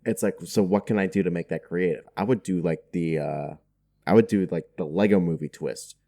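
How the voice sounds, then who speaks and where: A man's voice: low voice, a little fast, vocal fry, warm tone. A man talking casually as a podcast guest, chatty and jokey. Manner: chatty, jokey, often chuckling. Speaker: podcast guest working in film.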